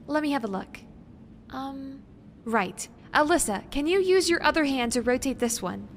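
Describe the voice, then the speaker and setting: A woman's voice: sits high, expressive, normal speed. A woman playing a careful, bookish young researcher in an audio drama, earnest and precise, hesitant when unsure. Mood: focused. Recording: faint background noise.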